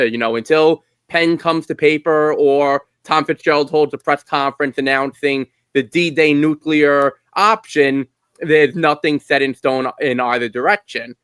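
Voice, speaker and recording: Clear-voiced man hosting a sports podcast. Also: a little high, fairly bright tone, no room sound. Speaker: podcast host. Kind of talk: conversational sports interview.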